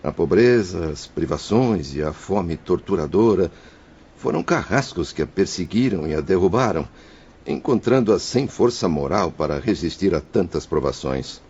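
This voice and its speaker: An actor playing the remorseful spirit of a husband and father who took his own life, confessing his guilt in a grave, husky voice. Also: deep voice, expressive, normal speed, darkish tone, muffled recording, heavily acted. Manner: contrite, solemn confession. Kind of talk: audio drama acting.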